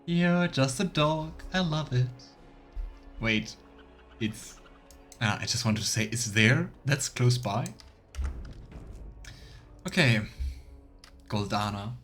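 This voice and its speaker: A man's voice: mid-range voice, animated, takes it slowly, long pauses, a bit bright, soft background music. A man streaming a video game with casual let's play commentary, making wry, sarcastic asides.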